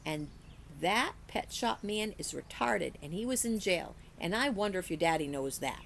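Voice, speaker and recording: Woman as a taunting, tattling little boy, swooping pitch. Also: lively intonation, normal speed, creaky, slight background noise.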